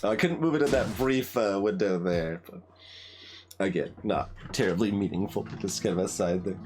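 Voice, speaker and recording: A man giving casual game commentary as host, thinking aloud in a halting way. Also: lowish voice, a little mellow, slight background noise. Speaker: gaming video host. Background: game music.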